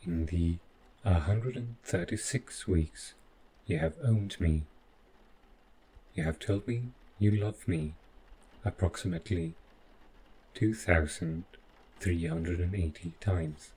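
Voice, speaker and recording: A devoted, quietly possessive robot butler, played by a man in an audio drama. The voice is very low and mellow, and it moves very slowly in formal, precise, measured phrases. Noticeable background ambience sits behind it. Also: slight background noise, poor audio. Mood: clinical, insistent. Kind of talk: scripted roleplay audio drama monologue.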